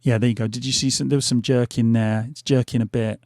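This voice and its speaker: A middle-aged man talks over his gameplay in a loose, hesitant ramble full of fillers. His voice is gravelly and fraying because he keeps losing it. The sound is dry and close, with no room around it. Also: mid-range voice, steady tone, quick pace, a little mellow, no room sound. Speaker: gaming channel host. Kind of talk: casual gameplay commentary. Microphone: headphones on, desk mic.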